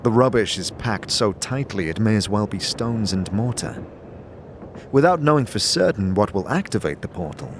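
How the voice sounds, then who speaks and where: A man narrating a fantasy audio drama in the second person, with deliberate, theatrical storytelling. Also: on the low side, noisy background. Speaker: narrator and game master. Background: music bed.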